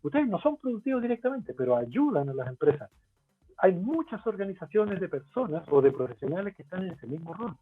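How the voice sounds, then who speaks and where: A man from Chile with a Chilean Spanish accent, a guest expert in a webinar interview who explains his points in a lively way and poses rhetorical questions. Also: high voice, a little fast, slightly rough. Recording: tinny phone-like line, faint music.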